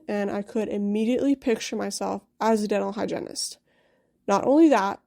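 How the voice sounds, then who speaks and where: Young woman vlogger, mellow and warm, candid storytelling, chatty asides. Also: medium pitch. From Quebec, Canada. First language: English. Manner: chatty, candid, frequent asides like "you know?" and "right?". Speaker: dental hygienist vlogger. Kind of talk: personal storytelling to camera.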